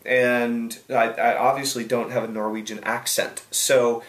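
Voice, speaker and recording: A man's voice: mid-range voice, little pitch movement, a little slow, a bit bright, echoey room. A man hosting a video advice show, answering a viewer's question in a rambling, chatty way with restarts and asides. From United States.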